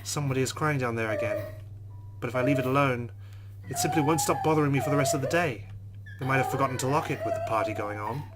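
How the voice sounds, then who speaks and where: A man's voice: medium pitch, fast, very noisy. A man hosting a let's play, reading a visual novel's text aloud dramatically and adding his own commentary.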